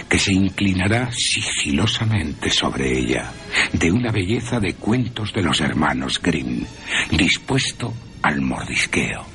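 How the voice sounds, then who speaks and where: A man's voice: a bass voice, fairly bright tone. A man with an accent from Spain narrating a story for radio in a wry, theatrical, dramatic manner. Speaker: narrator and presenter.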